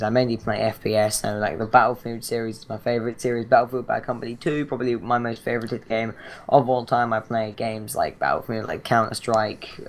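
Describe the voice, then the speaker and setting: A teenage boy with a British accent and a croaky, hoarse throat chats loosely about his gaming channel. He rambles, stalls on ums and restarts, and his pitch barely moves, staying flat throughout. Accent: British English. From Britain. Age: teens. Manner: rambling, chatty, many fillers and restarts. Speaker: channel host. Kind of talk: casual gaming channel intro.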